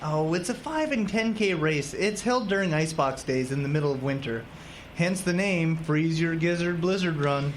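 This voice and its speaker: A woman's voice: low-pitched, moderate pace, a little hiss or hum, natural voice. A woman playing an eager, stubbornly curious young married man coming home, in an audio drama. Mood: cheerful, reassuring.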